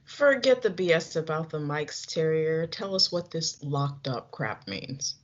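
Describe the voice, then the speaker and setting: A young woman playing a tough, blunt radio performer, brash and confrontational, in a high school radio play. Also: expressive, measured pace. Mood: defiant. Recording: dull lo-fi recording.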